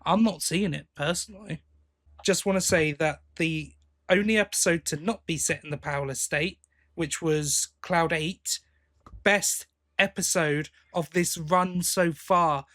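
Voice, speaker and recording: Higher-pitched man chatting. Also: a little slow, fairly bright tone. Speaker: podcast co-host. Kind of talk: casual chat.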